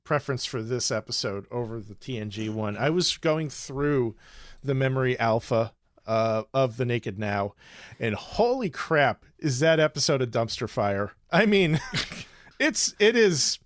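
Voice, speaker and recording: Man, medium pitch, casual chat, informal podcast co-host, dry close recording. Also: animated, measured pace, dry recording.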